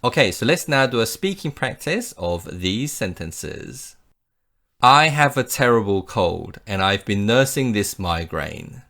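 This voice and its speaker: A man teaching English in a slow, deliberate way. His pitch sits a little low but moves a lot as he stresses words, and he says each example sentence clearly for the listener to repeat. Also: very animated, fairly bright tone. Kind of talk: slow scripted English lesson.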